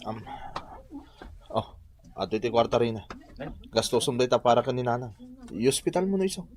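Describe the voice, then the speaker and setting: An actor with an Ilocano accent playing a proud, neglectful grown son in a radio drama. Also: male voice, mid-range voice, lively intonation, brisk, darkish tone, close to an everyday voice. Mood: dismissive.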